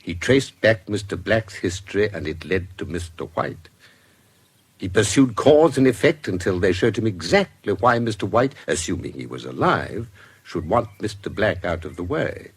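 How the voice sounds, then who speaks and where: A man with a British accent playing a shrewd, composed investigator in a radio drama, recounting a case in formal, measured, precise language. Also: sits low, big pitch swings, slightly rough, heavily acted. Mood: assured, explanatory. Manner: formal, measured, deliberate storytelling.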